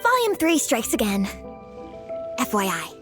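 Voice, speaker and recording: A woman's voice: a little high, huge pitch swings, a little slow. A woman voicing a bookish, matter-of-fact young woman in a video game, tossing in pedantic asides. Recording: music clearly under the voice.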